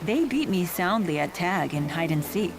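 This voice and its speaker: A dignified spirit lord in female form for a game. She speaks formally and earnestly in a lowish, composed voice, with clear music behind her. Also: lively intonation, normal speed. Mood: wistful, composed. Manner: composed, matter-of-fact, formal.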